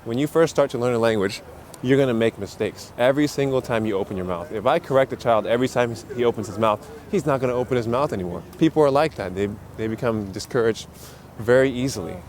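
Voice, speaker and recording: A man's voice: mid-range voice, fast, darkish tone. A man talking casually as he walks and explains things as an English teacher, full of uh and you know. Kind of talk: casual walking vlog. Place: busy city streets outdoors. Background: faint street and traffic noise.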